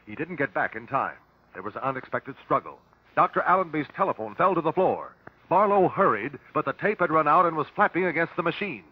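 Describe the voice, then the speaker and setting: An actor playing a shrewd, relentless detective at an inquest, measured and authoritative, in vintage radio drama style. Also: male voice, a little high, big pitch swings, breathy edge, no room sound. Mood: pressing, accusing. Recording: dull vintage radio broadcast recording.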